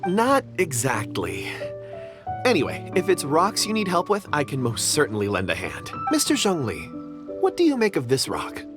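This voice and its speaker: An actor playing a young, eccentric rock expert in a video game, polite, chatty and enthusiastic. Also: male voice, on the high side, huge pitch swings, moderate pace. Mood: easygoing. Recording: music behind the voice.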